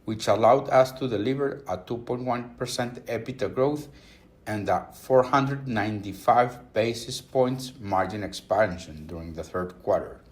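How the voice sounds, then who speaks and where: A man reading a scripted earnings call presentation as a company executive, in a formal, measured corporate manner. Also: fairly low pitch.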